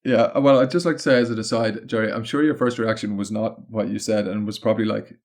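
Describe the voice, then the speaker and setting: A man with an Irish accent and a fairly low-pitched voice talks in a casual interview style. He is discursive, building his points aloud as an experienced guest would. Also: steady tone, quick pace. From Ireland. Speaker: podcast guest, content marketing consultant.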